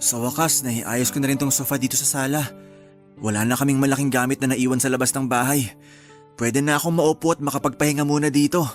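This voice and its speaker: A man's voice: mid-range voice, fairly even intonation, fairly quick, faint music behind, close to an everyday voice. An actor playing a devoted young husband and father in a radio drama, reassuring and eager. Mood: loving.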